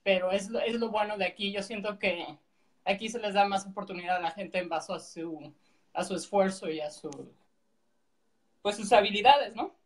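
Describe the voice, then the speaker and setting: Woman, Mexican accent, mid-pitched voice, casual explanatory chat, measured, video call guest.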